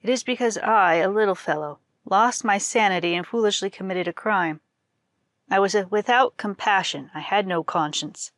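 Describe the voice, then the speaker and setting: A woman's voice: mid-range voice, moderate pace, fairly bright tone, no echo, studio quality, natural voice. A woman voicing a cowardly young male scholar in a folktale, humble and imploring. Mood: pleading.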